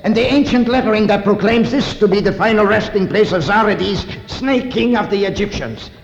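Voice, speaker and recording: An actor playing an eager, proud archaeologist in a 1950s radio drama, with earnest, formal diction. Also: male voice, sits high, normal speed, creaky. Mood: awed, excited. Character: eager, proud male archaeologist, a learned doctor. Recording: muffled, noisy 1950s radio recording, reverberant.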